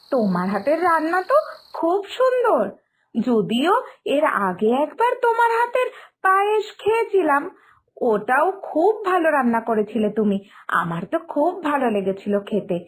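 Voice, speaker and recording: Woman acting a sweet, high-pitched young Bengali bride. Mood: admiring. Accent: West Bengal Bengali. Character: sweet, polite, naive young daughter-in-law. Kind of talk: Bengali cartoon family drama acting.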